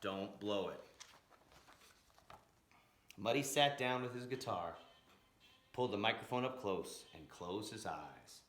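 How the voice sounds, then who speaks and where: A man reading a story aloud in an expressive, dramatic narrating style with emphatic, rhythmic phrasing. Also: lowish voice, normal speed, a little hiss or hum. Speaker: storyteller reading a picture book aloud, blues educator. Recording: roomy, echoing room sound.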